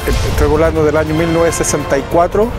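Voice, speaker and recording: A man's voice: fairly high pitch, steady tone, fast talker, over loud music. Man in his sixties on an airfield. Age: 60s. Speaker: glider pilot. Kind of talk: short interview testimony. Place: airfield outdoors.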